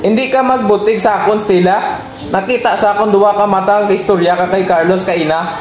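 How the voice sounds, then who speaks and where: A man's voice: high voice, steady tone, brisk, close to an everyday voice. A man playing a jealous, accusing, abusive young husband in an amateur radio drama, with a Western Visayan accent. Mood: angry, accusing. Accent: Western Visayan Philippine. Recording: roomy echoing recording, faint music.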